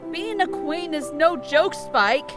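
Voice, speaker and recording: A woman's voice: high voice, expressive, takes it slowly, over loud music. A woman voicing a young pony queen who opens up shyly, in a fan audio drama. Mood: soft. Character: young pony queen, guarded but warm. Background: musical score.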